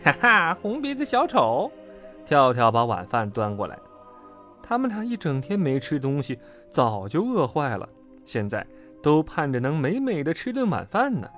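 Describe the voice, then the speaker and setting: A storyteller narrating a children's storybook in an expressive, dramatic way. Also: medium pitch, normal speed, faint music behind.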